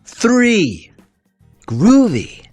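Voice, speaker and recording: Woman, children's read-aloud narrator, lively swings in pitch, very slow delivery, expressive, music behind. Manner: expressive, deliberate, asks listeners questions. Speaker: storybook reader.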